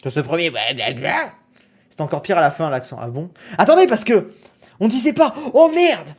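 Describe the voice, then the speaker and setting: A young man on a live stream reacts to his own old video, laughing at himself. his voice swings through a lively range, and he breaks into loud exclamations as he goes. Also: fairly high pitch, normal speed, husky. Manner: animated, self-mocking, exclamatory.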